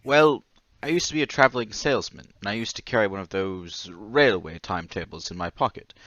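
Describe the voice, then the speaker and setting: Man acting as meek, gloomy salesman, low bright voice. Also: brisk, no echo, muffled recording, natural voice. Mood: bitter, troubled. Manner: hesitant, apologetic, fretful. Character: meek, forgetful, gloomy male former travelling salesman. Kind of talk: old-time radio drama acting.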